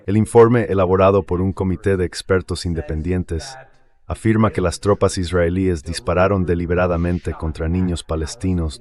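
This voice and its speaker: A man reading a scripted news report in a formal, measured manner. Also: low-pitched, darkish tone.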